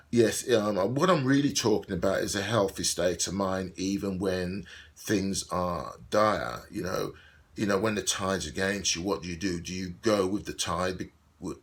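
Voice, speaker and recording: A man, an actor, talking through his thoughts in a video diary, with a slightly croaky voice and a musing, repetitive way of speaking. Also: low voice, a bit bright. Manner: musing, repeats himself, digresses. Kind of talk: reflective casual monologue.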